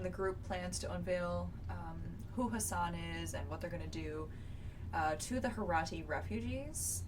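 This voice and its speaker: A woman co-hosting a podcast, recapping a book casually in a chatty, informal way with playful asides. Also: on the low side. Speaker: podcast co-host leading chapter recaps. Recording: distant mic, clearly noisy background.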